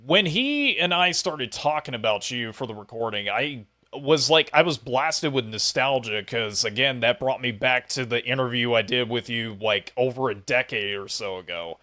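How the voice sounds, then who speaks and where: A man with a bright, forward voice at a middle pitch hosts a casual interview. He talks in a chatty, rambling way, like a podcaster on an online call. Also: animated, moderate pace, hardly any pauses. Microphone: voice call on Discord.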